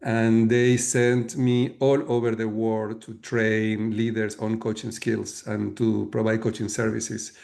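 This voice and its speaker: A middle-aged man from Argentina with an Argentine Spanish accent, explaining his work as a leadership coach in a measured way, with many fillers and restarts. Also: lowish voice, level tone, gravelly, a little mellow. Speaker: guest author and leadership coach. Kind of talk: webinar talk.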